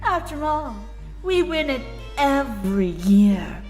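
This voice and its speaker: A woman's voice: high voice, very animated, slow pace, darkish tone, exaggerated voice. A woman voicing a rich, haughty unicorn mare who talks down to others in a condescending drawl for a cartoon fan drama. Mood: smug, haughty. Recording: long reverb with music behind.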